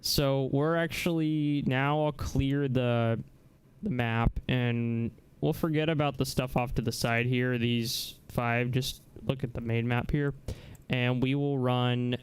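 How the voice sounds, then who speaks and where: A man hosting a casual live software demonstration, talking haltingly as he searches for words. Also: medium pitch, steady tone, clean tone, low-quality recording. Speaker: livestream host. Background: music.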